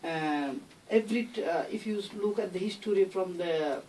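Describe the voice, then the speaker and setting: Halting man from Myanmar, slightly distant mic. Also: sits high, a little mellow. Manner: non-native English phrasing, earnest. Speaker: interview guest, founder of a charity. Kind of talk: halting explanatory interview talk. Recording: distant mic, faint background noise.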